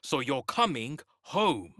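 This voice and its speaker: A man's voice: medium pitch, big pitch swings, takes it slowly, a bit bright, dry recording, clean studio sound, heavily acted. An actor voicing a scornful, bitter male vampire spawn in a dramatic video game style, sneering in clipped sentences. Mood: grimly insistent.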